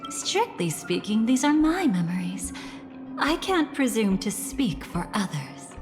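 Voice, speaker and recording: An actress playing a composed, enigmatic woman who guides a listener through memories in a video game, speaking in a measured, cryptic way. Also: medium pitch, very animated. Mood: composed, mysterious. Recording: long reverb under clear music.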